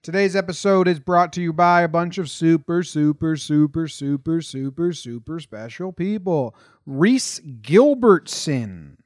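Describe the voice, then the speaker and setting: An American man with a fairly high voice, recorded close and dry. He hosts a sports podcast in a casual, blunt and emphatic way. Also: unhurried, hardly any pauses, a little mellow, no echo. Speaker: podcast host.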